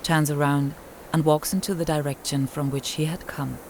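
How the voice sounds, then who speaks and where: A woman's voice: deep voice, fairly even intonation, moderate pace, a little hiss or hum. A woman playing a lone first-person narrator in an experimental radio play, with music behind her. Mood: subdued.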